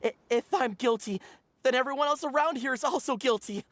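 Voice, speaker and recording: A man's voice: extremely high pitch, brisk, fairly bright tone, no room sound. A man voicing a timid, defensive villager in a video game, gossipy and cowed, with a stammering, pleading manner. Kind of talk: English video game voice acting.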